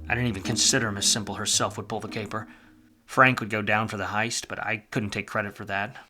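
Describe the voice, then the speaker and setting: A man acting a hardboiled, bright-edged noir detective. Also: on the low side, little pitch movement, a little fast. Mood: rueful, wry. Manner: wry, world-weary, slangy. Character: hardboiled, wry, down-on-his-luck male 1940s private detective. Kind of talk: film noir radio play acting and narration.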